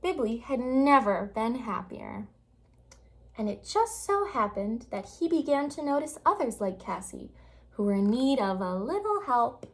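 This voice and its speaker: A woman reading a children's picture book aloud with expressive, dramatic storytelling phrasing. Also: fairly high pitch, unhurried.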